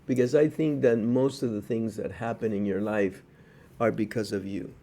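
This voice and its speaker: Low, mellow-voiced man from Cuba. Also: fairly even intonation. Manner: measured, cautionary, with frequent hesitations. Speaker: podcast co-host. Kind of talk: casual podcast chat.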